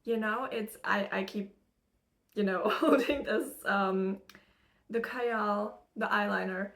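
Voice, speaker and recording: A woman's voice: medium pitch, takes it slowly, creaky, a bit bright. A young woman from Germany with an American English accent, chatting in a rambling, casual way with lots of fillers while she does her makeup in her room. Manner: chatty, rambling, laughs often, many fillers. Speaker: spiritual channeler and content creator. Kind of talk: casual get-ready-with-me chat. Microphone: camera used as a mirror, close-up.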